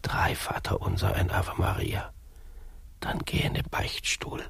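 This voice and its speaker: A man playing a conniving court priest and confessor in a comic radio play, hushed, murmuring and sanctimonious. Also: unhurried, in a whisper, lightly acted. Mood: businesslike.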